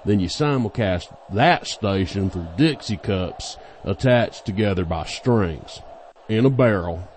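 A man as a low-voiced, bumbling radio worker. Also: animated, a little slow, darkish tone, a little hiss or hum. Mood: hesitant. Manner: hesitant, stammering. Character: hapless, bumbling male radio station worker. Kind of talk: scripted audio comedy acting.

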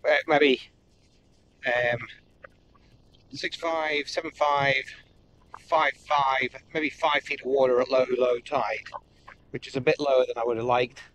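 A man in his fifties, chatting casually to camera while sailing alone, rambling with lots of ums and pauses. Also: steady tone, fairly bright tone, poor audio. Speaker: solo sailing vlogger. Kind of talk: casual running commentary to camera. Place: outdoors on a sailboat on open water. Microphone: action camera on the boat. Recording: wind and boat engine rumble behind.

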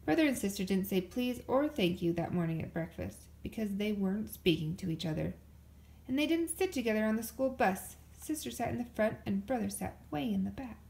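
A woman reading a children's picture book aloud as an expressive storyteller. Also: mid-range voice, a little fast, vocal fry, rough recording. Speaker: storybook reader.

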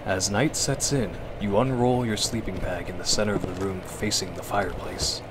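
A man's voice: fairly low pitch, fairly even intonation, normal speed, noisy background. A man narrating a scripted horror story in a dramatic, suspenseful radio drama manner. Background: music.

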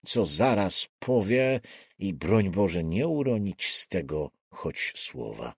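A man reading a thriller audiobook as scripted dramatic narration, deliberate and suspenseful in his delivery. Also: on the low side, a little mellow, no room sound, clean studio sound.

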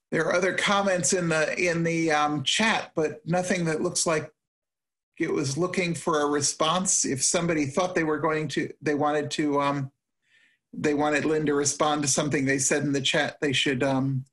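An older man moderating an online forum from home on a video call, measured and with dry self-deprecating asides. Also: on the high side, a bit bright. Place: room at home. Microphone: webcam on a video call.

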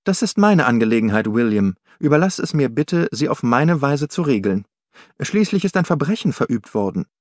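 A man's voice: medium pitch, big pitch swings, normal speed, a little mellow, no echo, lightly acted. Strong-willed young woman, read by a man, composed, forthright, proud, audiobook character, dry studio sound. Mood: defiant. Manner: determined, forthright.

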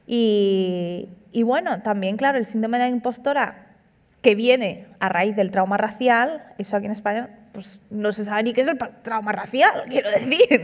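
A woman in her thirties, an author, speaking casually and animatedly at an onstage book talk, tossing in frequent tag questions. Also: medium pitch. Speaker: author and comic artist. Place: large auditorium stage. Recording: long hall reverb.

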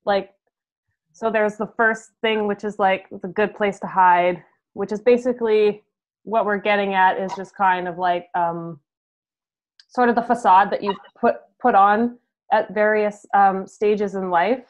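A young Canadian woman chatting casually as an interviewed musician, halting and peppering her talk with "like", on a video call. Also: medium pitch, steady tone. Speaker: band musician being interviewed. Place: room at home. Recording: dull, lo-fi video call audio.

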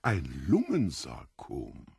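An actor playing a mysterious foreign stranger, the Devil in disguise, courteous and theatrical, relishing morbid details in an audiobook drama. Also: male voice, extremely low pitch, wildly swooping pitch, slow, a little mellow, breathy edge, dry recording, exaggerated voice. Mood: mockingly courteous.

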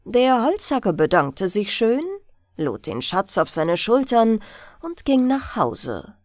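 A woman narrating a bedtime fairy-tale audiobook in an expressive storytelling style, close to the mic. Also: mid-range voice, slow, no room sound.

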